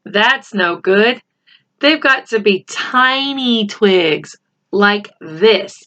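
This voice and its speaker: An earnest schoolboy from a storybook, voiced by a woman reader. He speaks in a measured, emphatic way, hopeful and a bit guarded. The sound is slightly muffled. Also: mid-range voice, expressive, pushed and bright, full character voice. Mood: eager. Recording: slightly dull, lo-fi home recording.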